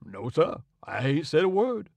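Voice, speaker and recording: A man voicing a cautious, deferential grown man who answers briefly and quietly. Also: on the high side, very animated, a little slow, gravelly, no echo, full character voice. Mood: guarded.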